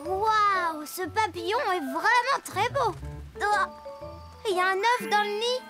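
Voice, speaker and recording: A woman voicing an earnest, excitable little boy in a French cartoon dub, eager and childlike in delivery. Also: very high voice, takes it slowly. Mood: amazed. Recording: loud music under the voice.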